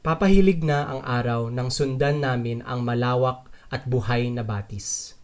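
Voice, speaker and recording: A man's voice: mid-range voice, normal speed, a little mellow, natural voice. Man acting a curious, wary traveler telling his story. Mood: narrating. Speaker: narrator and lead character of a dubbed short story. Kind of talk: dramatized first-person literary narration.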